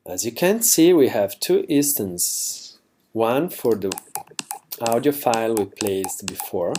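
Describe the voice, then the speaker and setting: Slow, halting man explaining software. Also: on the low side, animated, slight background noise. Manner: halting, self-correcting, slightly non-native phrasing. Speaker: plugin developer demonstrating software. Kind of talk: unscripted screencast tutorial.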